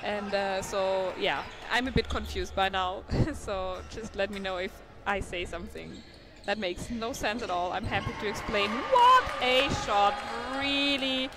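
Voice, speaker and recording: A woman's voice: mid-range voice, lively intonation, a little slow, few pauses. A woman whose first language is not English commentates a live dodgeball match in a chatty, thinking-aloud manner, sometimes stumbling over names, in an indoor sports hall. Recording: noisy sports hall ambience. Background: bouncing balls and hall noise.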